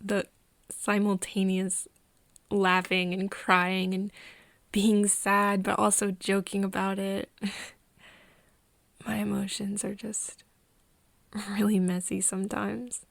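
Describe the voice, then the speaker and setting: A woman playing a nervous, tender young friend of a vampire in an ASMR roleplay, speaking softly and hesitantly, often slipping into a whisper. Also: medium pitch, little pitch movement, no echo. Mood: sheepish, emotional. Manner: hesitant, halting, breathy whispering mixed with soft speech. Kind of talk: ASMR roleplay, intimate one-sided dialogue to listener.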